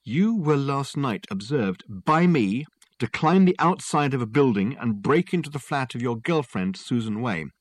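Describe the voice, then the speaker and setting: A man's voice: mid-range voice, expressive, normal speed, dry recording, pitched above the performer's own voice, natural voice. A man voicing a pompous, theatrical detective in a storybook, with elaborate, insistent phrasing. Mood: probing. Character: pompous, theatrical, probing male private detective. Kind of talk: audiobook character dialogue.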